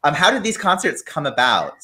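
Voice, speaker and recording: A man hosts an interview over a video call, his pitch moving a lot. He asks his questions haltingly, with many fillers along the way. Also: wildly swooping pitch, bright, forceful tone. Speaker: host.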